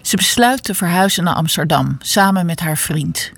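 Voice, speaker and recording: A woman narrating a documentary in a calm, measured way. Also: fairly low pitch, creaky, breathy edge. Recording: music underneath.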